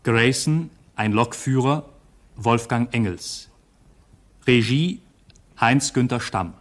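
A male announcer presents a radio play cast list. He speaks slowly and formally, at a middling pitch, setting out each item with measured care.

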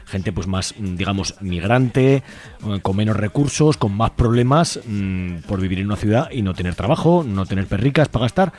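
A man's voice: fairly low pitch, animated, normal speed, a little mellow. A man hosting an informal radio interview, explaining things in a colloquial way. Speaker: radio host.

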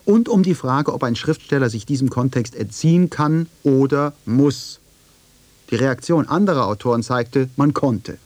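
A man delivering scripted radio feature narration and argument in a deliberate, probing manner. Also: medium pitch, animated, darkish tone, no echo.

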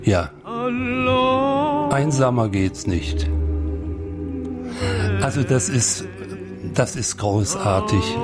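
Guy musing about a film, really slow and admiring, loud music under him. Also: fairly low pitch. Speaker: commentator on a film. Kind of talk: spontaneous reflective commentary.

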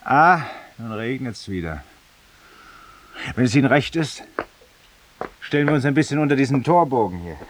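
A man's voice: sits low, a little hiss or hum. An actor playing a vain, ironic, confessional man in a 1950s radio drama monologue, urbane and theatrical, addressing a silent companion. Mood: urbane, musing. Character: vain, confessional, ironic male Parisian lawyer in exile. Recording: distant-sounding 1950s radio studio recording.

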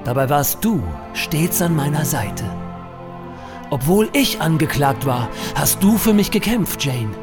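A man's voice: a little high, lively intonation, unhurried. A man acting a heroic, melodramatic office adventurer in a comedic radio play, with pompous, grandiose delivery. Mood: solemn, heartfelt. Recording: music behind the voice.

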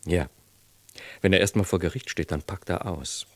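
An actor playing a cold, patronising corrupt official with a condescending, measured manner in a radio play. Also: male voice, low-pitched, no room sound. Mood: cold, calculating. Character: calculating, corrupt high official, coldly patronising. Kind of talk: German radio play dialogue.

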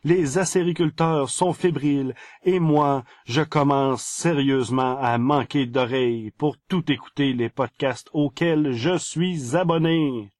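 A man's voice: mid-range voice, measured pace, few pauses, darkish tone, no room sound, clean studio sound. A man with a Quebec French accent presenting a scripted news segment on a podcast.

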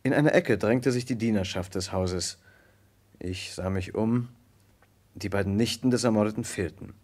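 An actor playing an earnest young American lawyer who narrates a murder case in a measured, precise way in a vintage German radio play. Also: male voice, fairly low pitch, a little mellow, natural voice. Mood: measured, grave.

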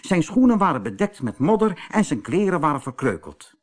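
A man narrating a children's audiobook as an expressive storyteller. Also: on the high side, a little fast, breathy edge.

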